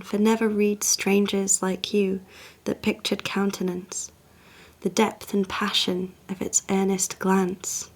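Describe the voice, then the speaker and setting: A woman playing a cold, proud, possessive Renaissance duke in a poetry monologue, speaking in a haughty, measured, hushed and insinuating way. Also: mid-range voice. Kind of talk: dramatic monologue, poetry reading.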